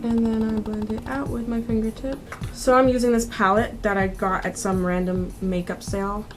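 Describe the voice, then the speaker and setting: A woman's voice: fairly high pitch, fairly even intonation, moderate pace. Chatty young woman over background music. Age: 20s. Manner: chatty, informal, conversational. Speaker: beauty vlogger. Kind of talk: casual makeup tutorial narration.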